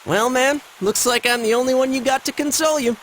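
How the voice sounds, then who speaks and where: A man's voice: very high voice, a little fast, fairly bright tone, slight background noise. A man voicing a cocky, teasing schoolboy in an anime game, casual and slangy. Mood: goading. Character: cocky, teasing, gossipy teenage schoolboy pal.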